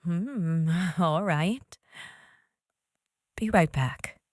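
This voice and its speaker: An actress playing a sweet, hopeful, affectionate wife in a dramatized comic. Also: female voice, on the low side, expressive, drawn-out words, dry recording, studio quality. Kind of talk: dramatized comic book acting.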